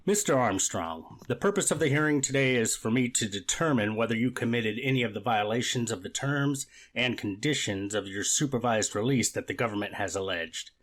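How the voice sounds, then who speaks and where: Guy playing a judge in a court reenactment, medium pitch, formal, measured, very procedural. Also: a bit bright, lightly acted. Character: formal, methodical male judge presiding over a hearing. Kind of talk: readers theater courtroom reenactment.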